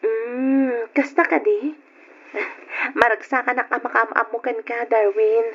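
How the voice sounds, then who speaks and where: A woman's voice: on the high side, fairly even intonation, a little slow, muffled recording. A woman acting a friendly, forthright young coworker in an old radio drama. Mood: friendly, curious.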